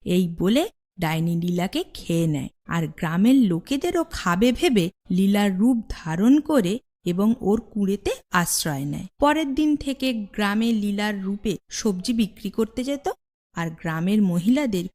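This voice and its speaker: Lady storyteller reading a kids fairy tale, mid-pitched voice. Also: lively intonation, a little slow, a little mellow. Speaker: storyteller, narrator. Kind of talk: scripted fairy-tale narration for children.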